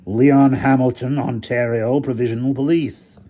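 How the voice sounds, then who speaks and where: A man voicing a big, exasperated police officer in a storybook, defensive, scornful and loud in protest. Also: medium pitch, steady tone, normal speed, pitched above the performer's own voice, lightly acted.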